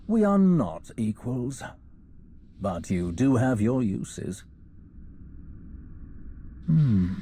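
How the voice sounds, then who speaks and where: Sly, slow-spoken Sith schemer. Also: male voice, medium pitch, mellow, a little hiss or hum. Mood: smug. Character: sly, scheming male Sith scholar hiding quiet ambition. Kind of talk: cinematic video game acting.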